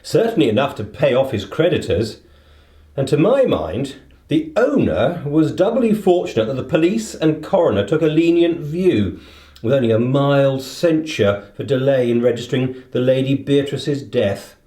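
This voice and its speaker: A man playing an incisive, authoritative gentleman detective in a radio play, precise, formal and dry. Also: medium pitch, expressive, moderate pace, echoey room, lightly acted. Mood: dryly teasing.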